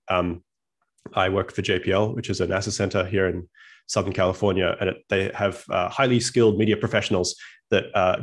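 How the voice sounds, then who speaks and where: Deep gravelly Australian man presenting. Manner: friendly, self-deprecating, thinks aloud. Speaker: guest speaker, physicist. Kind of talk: online presentation with slides. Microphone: video call.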